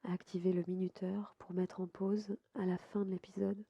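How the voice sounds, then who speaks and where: A woman softly guiding a sleep meditation as a yoga nidra host, unhurried and soothing, with a breathy voice that sometimes nears a whisper. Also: medium pitch, level tone, no echo, highs cut off. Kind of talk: guided sleep meditation narration.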